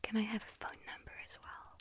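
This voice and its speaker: A woman doing a whispered ASMR role-play as a friendly young bakery witch, speaking softly and gently with a breathy, hesitant delivery. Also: fairly quick. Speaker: ASMR performer role-playing a bakery shop helper.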